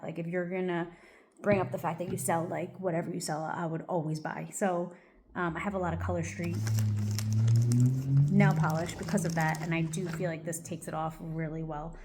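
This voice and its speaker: Woman, lowish voice, chatty get-ready-with-me vlogger, rambling with lots of fillers, a bit congested. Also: background noise. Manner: rambling, loose, full of "like" and "um". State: a little congested, stuffy. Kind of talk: casual get-ready-with-me chat.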